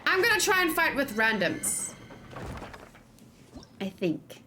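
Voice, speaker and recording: A woman gaming streamer chatting casually with viewers, thinking out loud as she plays and breaking into animated exclamations. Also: sits high, takes it slowly, a little hiss or hum. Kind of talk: casual live game commentary, chatting with viewers.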